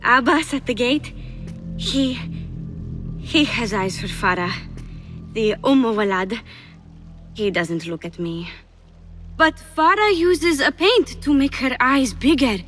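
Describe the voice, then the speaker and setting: A sly, high-voiced palace schemer. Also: female voice, animated, slow pace, long pauses, fairly bright tone, soft background music. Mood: coy, wistful. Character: scheming young female palace schemer, coy, coldly loyal. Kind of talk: video game cutscene acting.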